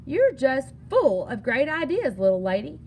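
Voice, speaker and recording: Woman reading as an easygoing storybook cowboy, animated. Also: high voice, measured pace, muffled recording, a little hiss or hum, voice pushed up, exaggerated voice. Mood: pleased. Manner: folksy, approving. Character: easygoing, friendly cowboy, adult man. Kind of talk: storybook character dialogue.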